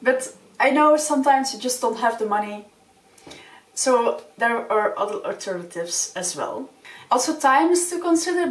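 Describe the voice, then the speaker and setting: A young woman, Dutch by mother tongue, speaks English in a friendly, earnest vlog voice, a little higher than most. She sits a few feet from the camera, so her voice comes across distant and roomy in a small wood-panelled room. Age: young adult. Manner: friendly, earnest, gestures with open hands. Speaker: vlogger. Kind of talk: casual advice talk to camera. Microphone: camera a few feet away. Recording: roomy sound from a distant mic.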